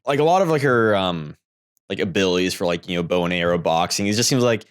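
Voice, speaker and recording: A man co-hosting a podcast, discussing films casually in a halting, hedging way. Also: low voice, expressive, clean tone. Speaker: podcast co-host.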